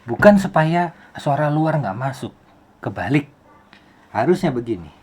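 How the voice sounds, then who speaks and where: A man's voice: a little high, sing-song, measured pace, slightly rough. A man giving a casual tutorial as a video host, explaining things with rhetorical questions and repeated phrases. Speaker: YouTube host, film soundman.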